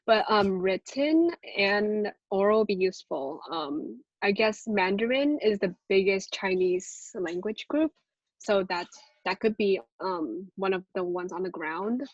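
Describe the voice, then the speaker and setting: A young woman intern presents over a dull, lo-fi video call. Her voice sits at a medium pitch with little room sound. She speaks Cantonese at home and feels her way through phrases with some hesitation. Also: unhurried, no room sound. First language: Cantonese at home, speaks several dialects. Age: young adult.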